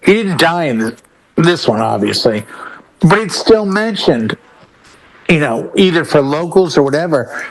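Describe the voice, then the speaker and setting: A man chatting casually as a panelist on a talk show, telling anecdotes in an animated way and acting out quoted dialogue. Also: a little high, measured pace, low-quality recording.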